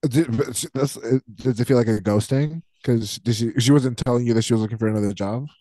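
A man's voice: medium pitch, fairly even intonation, fast, warm tone, no echo. A man co-hosting a podcast, chatting casually and reflectively about personal things in a probing, questioning way. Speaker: podcast co-host.

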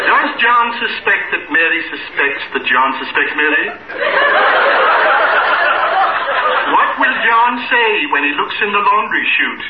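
Gushing high-pitched old-time radio announcer. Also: male voice, huge pitch swings, a loud crowd, heavily acted. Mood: melodramatic. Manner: grandiose, drawn-out showman delivery. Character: gushing syrupy kiddie radio host uncle, male. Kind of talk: 1950s radio comedy announcing. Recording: noisy, dull, echoey 1950s radio recording.

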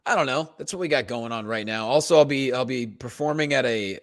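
A man's voice: medium pitch, brisk, no room sound, studio quality. A man co-hosting a comedy podcast with slangy, showman-like casual banter and plugs. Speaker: event announcer and performer.

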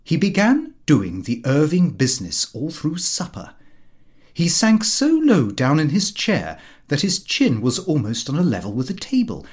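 Animated man reading a fussy comic diary. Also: fairly high pitch. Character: fussy, easily affronted middle-class Victorian gentleman diarist. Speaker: audiobook reader. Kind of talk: scripted narration of a comic diary in character.

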